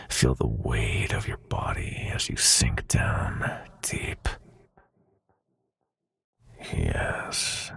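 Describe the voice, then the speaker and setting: A sinister, seductive prince of nightmares murmurs in an extremely deep voice, heavily fried and gravelly. His delivery is slow, breathy and silky, with menacing command. It is an intimate ASMR roleplay performance. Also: a bit bright, exaggerated voice. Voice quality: breathy, gravelly half-whisper.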